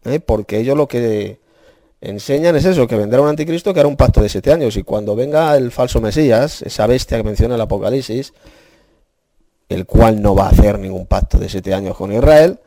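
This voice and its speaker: A man's voice: medium pitch, brisk, no room sound, clean studio sound. A man, teaching Bible prophecy in an online chat room, speaking emphatically and asking rhetorical questions. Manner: emphatic, warns forcefully, asks rhetorical questions.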